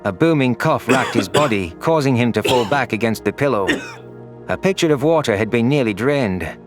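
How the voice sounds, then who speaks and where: A man's voice: mid-range voice, animated, moderate pace, music in the background. A man narrating a scripted science fiction story in the first person as an anguished, guilt-ridden alien. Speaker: narrator of a sci-fi audio story.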